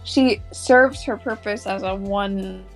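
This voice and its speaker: A woman giving her opinions casually as a podcast panelist, halting and cutting herself off. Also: mid-range voice, creaky, rough recording. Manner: halting, self-interrupting. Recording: music playing behind voice. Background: music.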